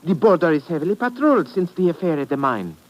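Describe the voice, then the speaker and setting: An actor playing a wary, grave village shopkeeper and guide with a Central European accent and formal, deliberate phrasing, in old radio drama style. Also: male voice, fairly high pitch, big pitch swings. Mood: grave, cautioning. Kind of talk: 1950s radio adventure acting. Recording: noisy vintage radio recording.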